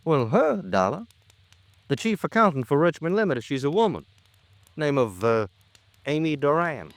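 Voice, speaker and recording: An actor playing an overworked, laconic American police lieutenant with colloquial, clipped talk, in 1950s radio drama style. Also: male voice, fairly high pitch, very animated, moderate pace, dry recording. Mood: dry, matter-of-fact.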